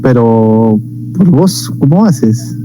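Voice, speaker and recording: A man's voice: mid-range voice, expressive, very slow, music in the background, poor audio. A man from Peru, chatting thoughtfully and explaining cultural topics to a stranger on a video call. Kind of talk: casual video chat.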